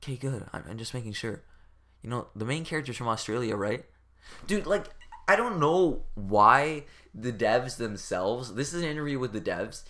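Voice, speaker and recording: A man's voice: mid-range voice, expressive, normal speed, a bit bright. A man giving a casual comedic rant as a game reviewer, rambling and exasperated, with informal, profane speech.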